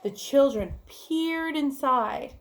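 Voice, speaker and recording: A woman's voice: high-pitched, lively intonation, slow. A woman reading a children's story aloud like a teacher, expressively, with chatty asides to the listeners. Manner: clear storytelling with acted-out dialogue and questions to listeners.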